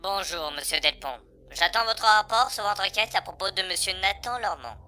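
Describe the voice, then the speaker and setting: A woman's voice: medium pitch, lively intonation, moderate pace, bright, forceful tone. A woman voicing a cold, secretive underworld boss's messenger in a French audio drama, formal, clipped and menacingly polite. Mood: cold questioning.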